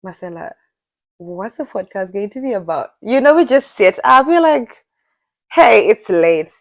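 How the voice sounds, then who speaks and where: A woman's voice: medium pitch, lively intonation, unhurried, gravelly, no room sound, clean studio sound. A young woman from Zimbabwe co-hosting a podcast, chatting casually and telling a story with lots of laughter. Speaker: podcast co-host. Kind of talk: casual chat.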